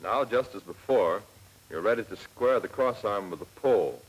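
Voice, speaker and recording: Husky American man, 1940s training film narrator. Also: lowish voice, animated, rough recording. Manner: clear step-by-step instructing, measured and plain. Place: scripted voice-over for film. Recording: old 1940s film soundtrack, slightly rough.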